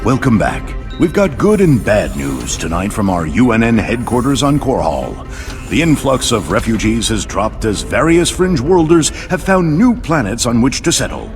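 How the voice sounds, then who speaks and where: An actor playing a slick, pompous television news anchor in a satirical video game newscast. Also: male voice, mid-range voice, sing-song, normal speed, music in the background. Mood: smooth.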